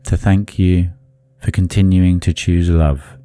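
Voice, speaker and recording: A man leading a guided meditation in a soothing, deliberate manner, leaving long pauses between phrases. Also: low-pitched, level tone, dark tone, no room sound.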